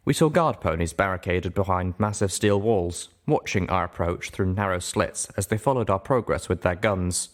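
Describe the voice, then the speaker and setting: A man with a fairly low voice narrates a story. He reads scripted prose in the style of an audiobook.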